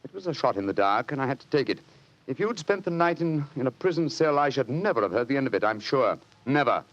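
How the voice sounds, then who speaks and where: Guy acting confident victorian detective, old radio show, muffled sound, incisive and deliberate, really animated pitch. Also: background noise, natural voice. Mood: confiding, wry. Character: shrewd, confident Victorian English gentleman detective. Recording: dull vintage radio recording.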